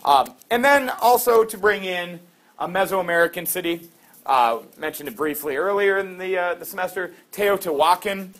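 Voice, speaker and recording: A middle-aged man teaching a class, lively and quizzing students with quick questions. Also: high-pitched.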